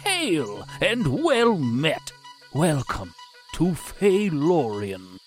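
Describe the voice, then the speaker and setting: Casting: ceremonious robot host for sci-fi radio drama, slow and expressive. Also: male voice, a little high, soft background music, full character voice. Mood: formal welcome. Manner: formal, archaic, scripted greetings. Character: courteous robot innkeeper guide at fantasy resort. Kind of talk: sci-fi audio drama acting.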